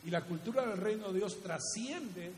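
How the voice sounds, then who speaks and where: Male preacher, high-pitched voice, instructive delivery, recorded in a very reverberant hall. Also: animated, moderate pace, a little mellow. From Guatemala. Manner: didactic, with rhetorical questions and repeated key phrases. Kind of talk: teaching sermon. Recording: echoey hall reverb with faint hiss.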